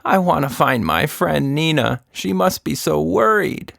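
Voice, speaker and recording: A man's voice: fairly high pitch, lively intonation, a little slow, creaky, a bit bright, no room sound, clean studio sound, voice pushed up, full character voice. A man voicing a talkative, short-sighted female monkey who rambles and interrupts in a children's story. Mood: emotional, worried.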